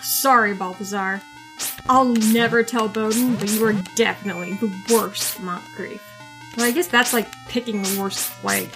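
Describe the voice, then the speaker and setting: A woman's voice: medium pitch, steady tone, normal speed, music in the background, lightly acted, low-quality recording. A woman playing a jaded, stubborn young agent in an audio drama, with a sarcastic, dismissive manner.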